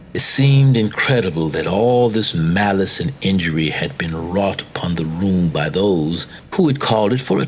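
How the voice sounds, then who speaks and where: A man with a low voice narrates a classic macabre story. His delivery is theatrical and deliberately phrased, in the manner of dramatic literary reading, over faint background noise. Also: fairly bright tone. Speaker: story reader.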